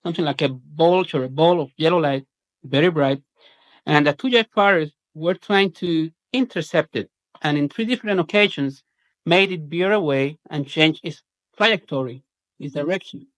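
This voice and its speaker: Higher-pitched Puerto Rican man recounting incidents. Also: moderate pace, a bit bright, dry recording, low-quality recording. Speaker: UFO researcher giving a presentation.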